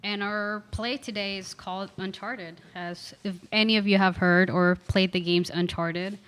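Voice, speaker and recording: A woman's voice: mid-range voice, fairly even intonation, unhurried, fairly bright tone, clear, resonant tone. A woman student casually introducing a group and its play.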